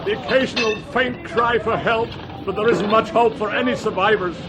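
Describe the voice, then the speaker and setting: An actor playing a florid, emotive disaster reporter in a radio drama. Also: male voice, high-pitched, moderate pace, heavy background noise, close to an everyday voice. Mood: distraught, dramatic. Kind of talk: radio drama acting as on-scene news report.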